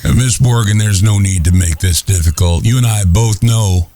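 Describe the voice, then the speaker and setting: A man's voice: lowish voice, normal speed, warm tone, close to an everyday voice. A man playing a menacing, sneering cowboy henchman with a threatening Old West drawl in a Western audio drama. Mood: smug menace. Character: menacing male hired cowboy thug, sneering and foul-mouthed.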